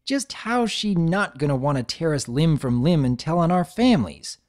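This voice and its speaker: A woman's voice: low-pitched, expressive, normal speed, darkish tone, voice pushed up. Woman doing a grumpy tomboy filly. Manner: gruff, sarcastic, complaining. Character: brash, grumpy tomboy girl pony.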